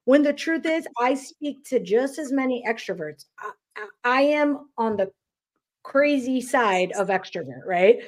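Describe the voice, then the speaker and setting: A woman hosting a podcast interview, talking quickly in a chatty way with many restarts. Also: fairly high pitch, expressive. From New York. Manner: fast, chatty, frequent restarts and fillers. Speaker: podcast host. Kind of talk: casual interview chat.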